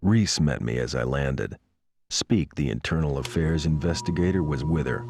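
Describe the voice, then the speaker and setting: Very deep gravelly grim police pilot. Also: male voice, normal speed, darkish tone, dry recording, clean studio sound. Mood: grim, bitter. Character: weary, grim male police pilot narrating betrayal. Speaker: narrator protagonist. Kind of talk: noir-like scripted first-person game narration.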